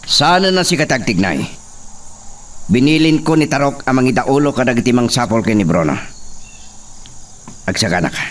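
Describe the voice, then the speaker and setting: A man's voice: medium pitch, lively intonation, normal speed, pauses often, close to an everyday voice. A man with an Ilocano accent playing a hot-tempered, imperious young master of an estate in a radio drama. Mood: commanding. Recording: distant mic, noisy cricket ambience.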